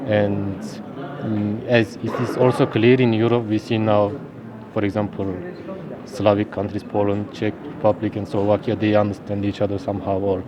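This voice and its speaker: Low-voiced Turkish-accented man on a crowded street. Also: level tone. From Turkey. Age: 30s. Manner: halting, searching for words, frequent restarts. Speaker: linguist guest. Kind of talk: casual interview in English. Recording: outdoor crowd chatter behind.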